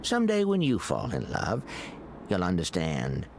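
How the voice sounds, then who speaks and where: Game role: weary old male psychic agent, low gravelly voice full of regret, swinging intonation, music behind. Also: wildly swooping pitch, measured pace, heavy background noise, full character voice. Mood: remorseful, haunted. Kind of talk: video game cutscene acting. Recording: loud music under the voice.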